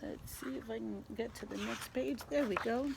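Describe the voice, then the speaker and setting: A woman teacher reading a picture book aloud to young children, animated, pausing to explain things simply. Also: a little high, vocal fry, background noise. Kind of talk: expressive picture-book read-aloud with asides.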